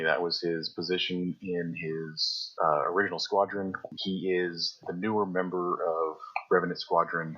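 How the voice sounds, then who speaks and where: A man's voice: low-pitched, bright, forceful tone, slightly rough. A man casually introducing his character as a player on a tabletop roleplay podcast, on lo-fi audio. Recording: dull lo-fi audio.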